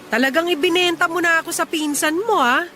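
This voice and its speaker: High-pitched, loyal single woman, radio drama. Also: brisk, pushed and bright, slight background noise. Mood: teasing, indignant. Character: teasing, loyal single woman bound for work abroad. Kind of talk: Tagalog radio drama acting.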